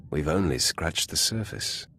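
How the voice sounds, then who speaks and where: An actor playing a commanding Sith master in a video game, cold, ruthless and smug, with an imperious, measured delivery. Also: male voice, expressive, bright, forceful tone, full character voice. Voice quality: very deep, gravelly. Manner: measured, imperious, dryly suggestive. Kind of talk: scripted video game acting.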